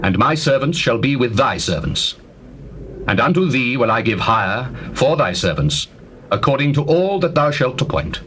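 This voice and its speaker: A man's voice: mid-range voice, fairly bright tone. An actor playing a devout, stately king in dramatized scripture, speaking formally in archaic English. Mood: commanding, courteous. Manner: formal, declamatory. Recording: faintly noisy old tape recording.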